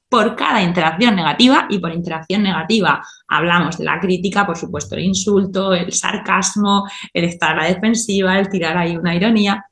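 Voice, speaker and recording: A woman's voice: medium pitch, roomy sound. A woman hosting a podcast, explaining ideas step by step and often checking in with her listener. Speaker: podcast host and teacher.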